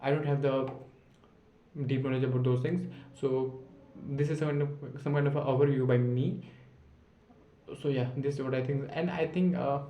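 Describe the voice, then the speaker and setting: A man's voice: medium pitch, fairly even intonation, normal speed, echoey room, lo-fi sound. A 19-year-old man practising his spoken English in a rambling, self-encouraging monologue, thinking aloud and restarting his sentences. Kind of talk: unscripted self-talk monologue to camera.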